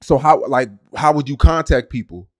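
Male interviewer, medium pitch, informal and slangy, putting questions to a guest. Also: moderate pace, a little mellow.

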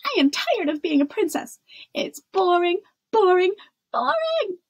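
A woman's voice: sits high, big pitch swings, normal speed, breathy edge, exaggerated voice. A woman voicing a stubborn, rebellious young princess in a storybook, whining emphatically. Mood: fed up. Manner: whiny, emphatic, defiant. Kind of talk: storybook character voice.

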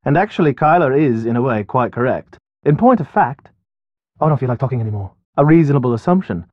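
A man's voice: medium pitch, lively intonation, quick pace, no echo, narrow, tinny audio, natural voice. An actor voicing a flippant, nearsighted, hungry Englishman trapped underground in a video game, with a wry, wordy manner. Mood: dry, flippant. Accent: British. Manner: wry, wordy, posh turns of phrase. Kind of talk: video game character dialogue.

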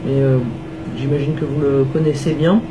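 A woman sits in an office meeting room and gives a thesis defense its verdict. Her speech is polite, formal and full of hesitant fillers. Clear background noise sits under her voice. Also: deep voice, flat delivery, moderate pace. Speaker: jury member. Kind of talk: giving formal feedback.

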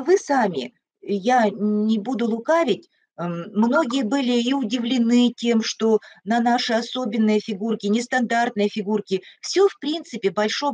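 An older woman in her sixties with a husky, somewhat high voice leads a sewing class, explaining step by step as she works at a dress form. She talks in a loose, unscripted way, full of fillers. The sound comes from a webcam mic in a small, bright sewing studio. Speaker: sewing teacher. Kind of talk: online sewing lesson.

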